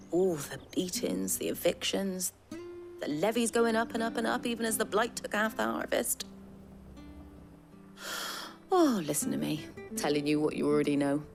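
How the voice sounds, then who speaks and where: A woman's voice: mid-range voice, close to an everyday voice. A woman playing a talkative, wistful villager in a fantasy video game, folksy and polite, with a British accent. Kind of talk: video game cutscene acting.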